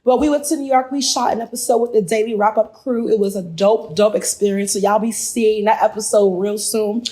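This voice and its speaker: A woman hosting a casual chat show, hoarse after losing her voice, talking in a lively way and repeating phrases. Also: medium pitch, fairly quick. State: hoarse, lost voice. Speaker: host.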